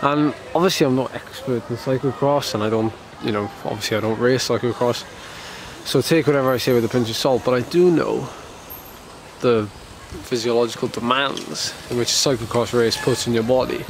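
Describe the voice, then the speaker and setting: A man's voice: medium pitch, brisk, slight background noise. A man from the United Kingdom, a professional cyclist, talking casually to camera while ill and slightly congested, rambling with lots of fillers and restarts. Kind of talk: casual vlog talk to camera.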